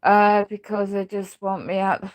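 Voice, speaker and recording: A woman's voice: little pitch movement, unhurried. A woman who is ill with a chest infection, with a crackly voice, short of breath and struggling to speak as she gives a rambling, aggrieved personal account. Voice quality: crackly, deep voice. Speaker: housing tenant speaking out. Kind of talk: rambling personal video testimony.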